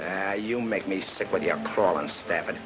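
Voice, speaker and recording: An actor playing a hot-tempered, suspicious gangster boss, slangy, scornful and menacing, in an old radio noir drama. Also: male voice, low voice, normal speed, close to an everyday voice, poor audio. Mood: sullen, scornful. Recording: old radio recording, music underneath.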